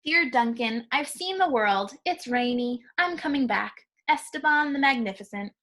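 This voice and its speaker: A grand little crayon from a picture book, read by a woman, addresses its owner formally. It has a high, bright voice and a self-important air. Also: animated, moderate pace. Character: grand, impatient little pea-green crayon longing to travel.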